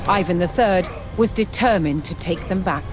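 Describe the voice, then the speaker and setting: A woman giving scripted, documentary-style narration for a historical strategy game, measured and formal in her storytelling. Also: on the low side, crowd noise. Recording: music behind the voice. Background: music.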